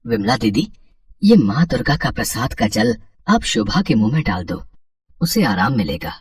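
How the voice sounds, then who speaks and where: A man's voice: medium pitch, sing-song, moderate pace, slightly rough, dry recording, far from the mic. A man playing a humble, devout, emotional potter and father in a moral story, with a reverent, pleading delivery. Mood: grateful, devout. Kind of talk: acted dialogue in a Hindi moral story.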